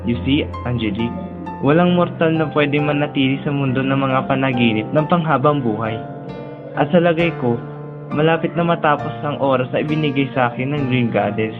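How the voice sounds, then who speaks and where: A man with a Filipino accent playing a gentle, lovelorn young man who speaks earnestly and tenderly in a romantic radio drama. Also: mid-range voice, a little fast, phone-quality sound, lightly acted. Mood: regretful. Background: music.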